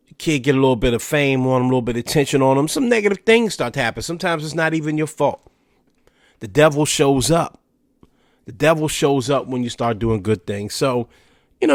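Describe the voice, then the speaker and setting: A man's voice: medium pitch, no echo, studio quality. A man hosting a podcast, talking in a casual, conversational way, a little stuffy and nasal from allergies. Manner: conversational, filler-heavy with "you know" and restarts. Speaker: podcast host. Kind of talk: casual solo commentary.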